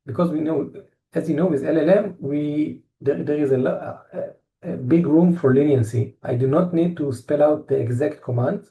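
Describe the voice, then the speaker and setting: A middle-aged man with an Israeli accent giving a technical presentation, halting often with fillers and restarts. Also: on the high side, dark tone, poor audio. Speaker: tech company presenter.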